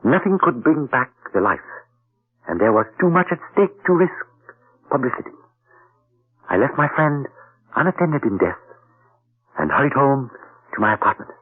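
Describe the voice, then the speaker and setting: A man's voice: mid-range voice, big pitch swings, normal speed, pauses often, breathy edge. A man playing a suave, polite male intelligence agent who narrates and speaks formally in proverbs, in an old radio play. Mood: grim. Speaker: narrator and lead character. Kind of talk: 1940s radio acting. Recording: tinny old radio broadcast.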